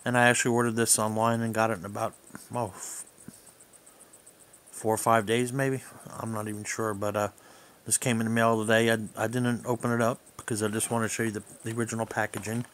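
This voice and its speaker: A man's voice: fairly low pitch, little pitch movement, fairly quick, a bit bright. A man giving a casual, unscripted product overview in a hesitant, rambling way. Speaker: hobbyist video reviewer.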